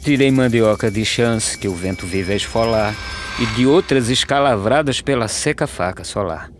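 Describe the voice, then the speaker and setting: An actor with a Brazilian accent playing a weary, humble, earnest migrant farm labourer in an animated verse drama. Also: male voice, mid-range voice, normal speed, noisy background, natural voice. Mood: earnest, hopeful. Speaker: narrator and lead character of animated verse drama. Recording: music under the voice.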